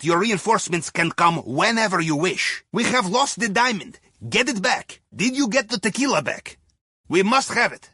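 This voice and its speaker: A man playing a wry Russian submarine captain in a video game, briefing a heist crew with deadpan, folksy remarks and a Russian accent. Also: sits high, slightly rough, no echo, clean studio sound, full character voice. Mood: dry, instructive, then urgent, animated. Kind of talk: scripted game mission briefing.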